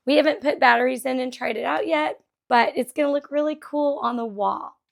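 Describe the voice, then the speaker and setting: A middle-aged woman chats excitedly about her vintage finds, her voice pitched high. There is a gravelly fry in her tone, and it sounds a little scratchy after a night of singing along at a concert. She laughs easily as she talks. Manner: chatty and enthusiastic, laughs often. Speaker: vlog host. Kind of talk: casual thrift haul chat.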